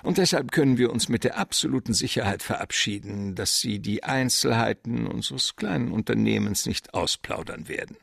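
Suave, gravelly crime boss. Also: male voice, mid-range voice, moderate pace, hardly any pauses, fairly bright tone, no echo, studio quality, full character voice. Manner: florid, courteous, pompous. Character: fat, suave, calculating middle-aged male crime boss. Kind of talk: German radio crime drama acting.

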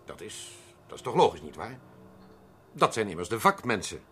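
An actor playing a pompous, prickly accountant with sinister plans in a radio drama, precise and theatrically formal, in a husky voice. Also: male voice, lowish voice, big pitch swings, moderate pace, a bit bright, slight background noise. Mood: explaining, self-assured. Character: pompous, prickly accountant coolly proposing a perfect murder. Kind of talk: Dutch radio drama acting.

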